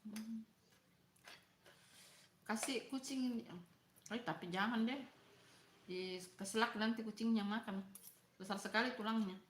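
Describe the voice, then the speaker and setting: A woman's voice: fairly high pitch, steady tone, unhurried, pauses often, echoey room, slight background noise. A middle-aged woman with a Makassar Indonesian accent, chatting casually with live viewers while she eats, asking them questions and answering their comments. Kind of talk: casual live-stream chat while eating.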